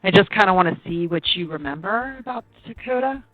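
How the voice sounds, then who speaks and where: A woman's voice: mid-range voice, lively intonation, brisk, dry recording. An American woman, a police detective, questioning someone politely and informally over the phone. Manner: polite, conversational. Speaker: police detective interviewing witnesses. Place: on a telephone call. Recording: tinny, narrow phone line.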